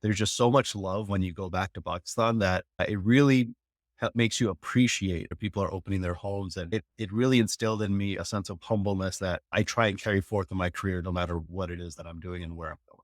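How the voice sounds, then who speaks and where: Low-voiced man in his thirties, dry sound. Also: steady tone, fast talker, dry recording. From New York, United States. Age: 30s. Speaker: interview guest, technology executive. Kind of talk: personal storytelling in an interview.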